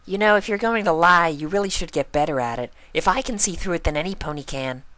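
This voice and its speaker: A woman voicing a bubbly, excitable young female pony with gushing, emphatic, childlike talk in a story reading. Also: lowish voice, fast, dry recording, voice pushed up, close to an everyday voice. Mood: deadpan.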